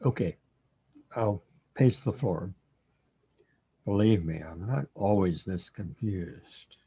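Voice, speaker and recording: A man playing a confused, paranoid, brooding man who lives inside a computer, with a husky voice, in an audio drama. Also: low-pitched, expressive, takes it slowly, warm tone, no echo, exaggerated voice. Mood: confused, defensive. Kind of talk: audio drama monologue and dialogue. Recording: dull, lo-fi, dry close recording.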